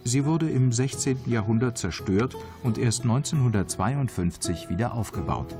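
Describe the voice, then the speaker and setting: A man reading scripted travel documentary narration in a calm, measured, informative way. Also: mid-range voice. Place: voice-over studio. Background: background music.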